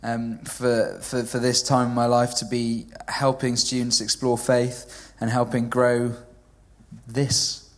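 A man's voice: mid-range voice, completely flat delivery, slow pace. A man in his twenties from Wales, a native Welsh speaker with a well-spoken southern English accent, talking about himself in a hesitant, chatty way with lots of ums during a church service. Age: 20s. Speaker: church intern. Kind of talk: church stage interview.

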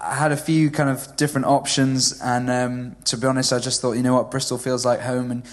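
This is a young man in his twenties, born in Wales, who speaks with a well-spoken southern English accent even though Welsh is his first language. He talks in a level, even-pitched way, chatty but hesitant and full of ums, as he is interviewed during a church service. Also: brisk. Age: 20s.

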